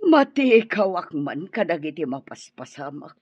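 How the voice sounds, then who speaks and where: A woman's voice: low-pitched, big pitch swings, normal speed, darkish tone, breathy edge, dry recording, lo-fi sound, exaggerated voice. A woman with an Ilocano accent playing an indignant, quarrelsome older village sister in a radio drama. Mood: scornful.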